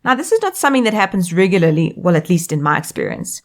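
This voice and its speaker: A South African woman in her thirties, a life coach hosting her own podcast, reading a scripted monologue in an upbeat, expressive way. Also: fairly low pitch, fast talker.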